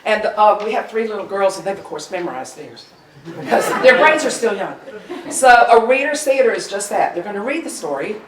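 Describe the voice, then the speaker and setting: A woman's voice: mid-range voice, sing-song, a little fast. A woman giving an informal, chatty pre-show welcome to a live audience, with joking asides as presenter. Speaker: presenter introducing a staged reading. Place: indoor arts venue, before a live audience. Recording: roomy, distant live-event recording with audience noise.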